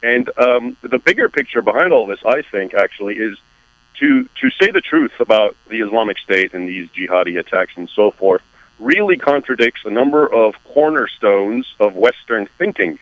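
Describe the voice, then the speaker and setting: A man from the United States, an author speaking as a radio guest, explaining his view in a measured, emphatic way. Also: lowish voice, expressive, dry recording, narrow, tinny audio. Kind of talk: radio interview.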